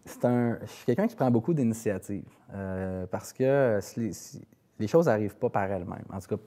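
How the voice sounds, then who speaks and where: Québécois male singer-songwriter as interview guest, soft dark timbre, slightly low pitch, conversational and searching. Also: lively intonation, moderate pace. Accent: Quebec French.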